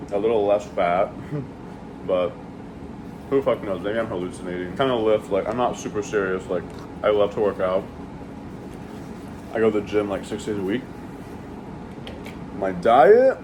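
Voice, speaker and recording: A man chatting casually while he eats, rambling and swearing freely, with lots of fillers. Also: lowish voice. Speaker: vlogger eating on camera. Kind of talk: casual chat while eating. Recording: steady air conditioning hum.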